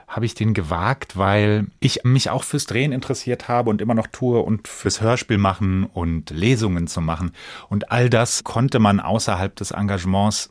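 Deep-voiced German man being interviewed. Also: dry recording, clean studio sound. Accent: standard German. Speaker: actor, interview guest. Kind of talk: casual reflective interview talk. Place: dry radio studio.

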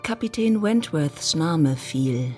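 A composed storyteller narrates a period novel for radio. Her voice sits a little low. Soft music runs faintly underneath. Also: lively intonation, takes it slowly. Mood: measured. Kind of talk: scripted literary narration for radio drama.

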